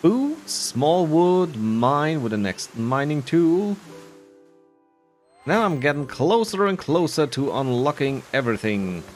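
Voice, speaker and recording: A man's voice: a little high, big pitch swings, takes it slowly. A man giving casual, rambling commentary on his own gameplay, with muttered, self-deprecating asides. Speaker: gamer narrating his playthrough. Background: faint game music.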